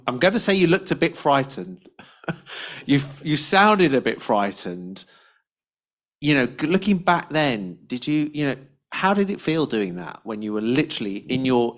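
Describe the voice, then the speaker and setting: A man's voice: mid-range voice, expressive. British man moderating a panel. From Britain. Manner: halting, restarts sentences, friendly. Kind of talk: casual online panel chat.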